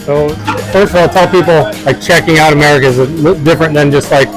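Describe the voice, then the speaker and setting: A middle-aged man hosting a motorcycle show, chatting casually in a relaxed, rambling way and reading sponsor lines. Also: fairly high pitch, quick pace, low-quality recording. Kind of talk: casual chat and sponsor reads. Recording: music playing underneath.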